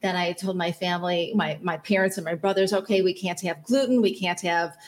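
A woman's voice: fairly low pitch, fairly even intonation, a little fast. A woman in her forties, from the Chicago area, talking openly about her own story as an interview guest. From North Shore of Chicago. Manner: open, talkative, storytelling. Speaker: health coach guest. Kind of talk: casual interview.

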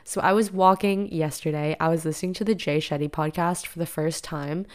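A young woman hosting a solo podcast in a casual, rambling way, her voice raspy and a bit deeper because she has just woken up. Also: steady tone, a little fast. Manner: chatty, rambling, filler-heavy. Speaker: podcast host. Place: living room.

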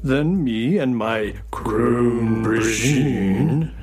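A man voicing a kindly, excitable, helpful space horse in a children's audio story, with folksy, theatrical emphasis. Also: mid-range voice, extremely slow, over music. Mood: reminiscing. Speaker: voice actor.

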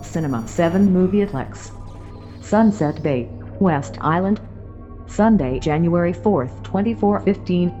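An actress voicing an impersonal, detached female announcer who stamps places and times in clipped, deliberate phrases, with a muffled lo-fi sound. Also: on the low side, lively intonation, mellow, faint music behind. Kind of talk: scripted location announcement.

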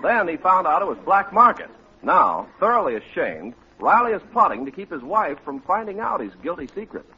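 An American man works as a 1940s radio announcer, with a polished sales pitch. His voice is fairly high, slightly husky and hugely animated in pitch. The recording is old and muffled. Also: a little fast.

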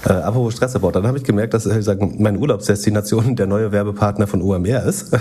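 A man's voice: lowish voice, fast talker, dark tone. A man co-hosting a podcast in casual chat, talking while he has a cold, with many hesitant fillers and dry sarcasm. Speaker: podcast co-host.

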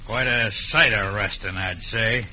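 An actor playing a scheming American outlaw ringleader, folksy and commanding, in an old radio western. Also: male voice, on the low side, a little slow, loud music behind the voice, close to an everyday voice. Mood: bossy. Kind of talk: classic radio western acting. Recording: noisy old radio recording.

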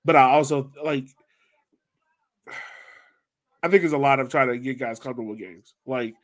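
An American man with a mid-pitched voice co-hosts a sports podcast. He speaks in a casual, conversational way, as if talking things over with fellow fans. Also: normal speed. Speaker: soccer podcast co-host.

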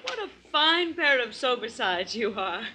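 A woman's voice: a little high, big pitch swings, a little slow. A woman playing a scientist's affectionate, perceptive wife in a 1950s radio drama, solicitous and genteel. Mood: breezy, affectionate. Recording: noisy 1950s radio broadcast recording.

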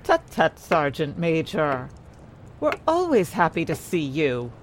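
A woman's voice: low voice, huge pitch swings, slow, noisy background, heavily acted. An actress playing a placid, doting older English wife and mother in an audio drama, soothing and politely fussing. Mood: fond, welcoming.

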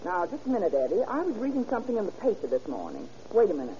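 An American actress playing a brisk, confident police records clerk in an old-time radio drama, explaining things with assurance. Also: female voice, mid-range voice, wildly swooping pitch, dark tone, highs cut off, slight background noise. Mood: eager, assured.

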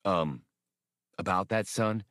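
A man's voice: sits low, slow, fairly bright tone, no room sound, studio quality. A man voicing a genial, practical old adventurer in an audiobook, easygoing and conciliatory. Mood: hesitant.

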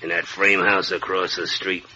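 A lowish, very sharp and forceful-toned American mob underling in an old radio serial. He is slangy, hesitant and deferential to his boss. The recording is dull. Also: level tone, moderate pace, slight background noise. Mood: eager. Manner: slangy, questioning underling talk. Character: hesitant, dim, deferential American gangster henchman. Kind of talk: 1940s radio crime acting.

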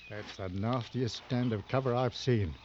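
An actor in an old radio drama playing a weary, sardonic big game hunter with a gruff, brooding manner. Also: male voice, medium pitch, moderate pace, darkish tone, close to an everyday voice. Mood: tense, watchful. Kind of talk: 1940s-60s radio drama acting. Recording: dull, noisy old radio recording.

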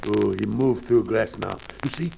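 Gravelly, loyal servant in broken English. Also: male voice, on the low side, moderate pace. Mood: watchful, earnest. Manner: clipped broken English, deferential. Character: loyal, injured male native servant and sidekick. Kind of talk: 1940s-50s radio adventure serial acting. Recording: crackly old radio recording.

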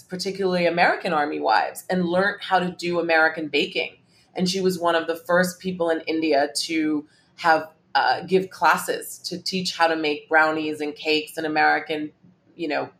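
A woman's voice: low voice, a bit bright. A woman in her forties from Hong Kong, telling family stories as a podcast guest and often restarting her phrases. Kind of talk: casual interview chat.

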